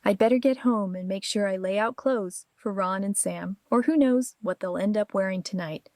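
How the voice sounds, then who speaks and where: A woman's voice: mid-range voice, normal speed, darkish tone, no room sound, clean studio sound, lightly acted. A woman playing a conflicted, defensive and vulnerable married mother in an audio drama. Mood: grateful.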